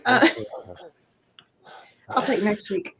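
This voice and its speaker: A woman's voice: medium pitch, drawn-out words, a crowd in the background. A woman with a mild Southern US accent chatting casually in a group. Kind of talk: casual chat.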